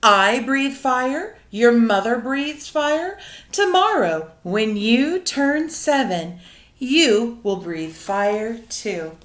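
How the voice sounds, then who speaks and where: A woman's voice: on the high side, animated, very slow, fairly bright tone, full character voice. A woman voicing a proud, stern father dragon from a storybook, speaking in emphatic declarations. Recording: roomy, echoing indoor sound.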